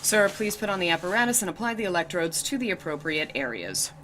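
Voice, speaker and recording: An actress playing a rigid, emotionless checkpoint examiner in an audio drama, officious, precise and formulaic. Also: female voice, lowish voice, big pitch swings, fast, a bit bright, a little hiss or hum. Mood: coldly official. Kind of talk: deadpan audio drama acting.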